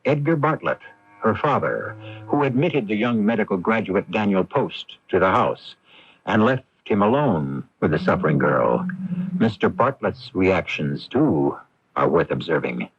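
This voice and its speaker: An actor as the formal, deliberate storytelling host of a radio mystery drama, reading scripted narration. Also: male voice, deep voice, sing-song, husky, dry recording, heavily acted. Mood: measured, intriguing. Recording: dull noisy AM radio broadcast recording.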